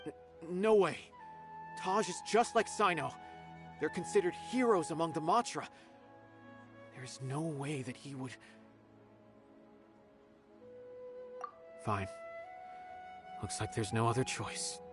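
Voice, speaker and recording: An actor playing an earnest, loyal and anxious young city enforcer in a video game, speaking hesitantly. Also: male voice, a little high, unhurried, long pauses, music in the background, close to an everyday voice. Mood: dismayed, defensive.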